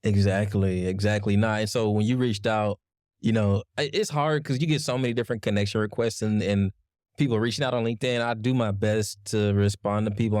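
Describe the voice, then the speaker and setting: A man hosting a podcast, chatting in a relaxed, slangy way. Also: lowish voice, dry recording, studio quality. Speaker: podcast host. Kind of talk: casual interview chat.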